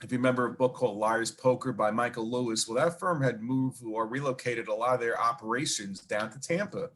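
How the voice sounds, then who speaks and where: A man's voice: medium pitch, brisk, a bit bright, slight background noise. A man with a Brooklyn accent, a guest telling long stories in an interview, rambling with many fillers and asides. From New York. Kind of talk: casual storytelling interview.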